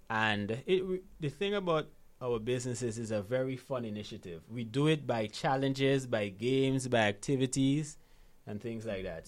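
Emphatic Guyanese man coaching conversationally. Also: medium pitch. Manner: emphatic, repeats key phrases, checks in with "right?". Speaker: financial literacy coach, interview guest. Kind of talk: conversational motivational explaining.

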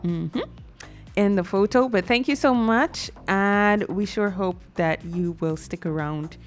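A woman's voice: mid-range voice, slow, soft background music. A woman with a Guyanese accent, co-hosting a live morning talk show, laughing easily and greeting viewers. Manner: bubbly, laughs often, reads viewer comments. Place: TV studio.

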